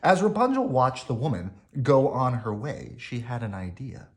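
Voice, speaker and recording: A man reading a children's bedtime story aloud in an animated, emphatic way. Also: mid-range voice, moderate pace. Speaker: storybook reader.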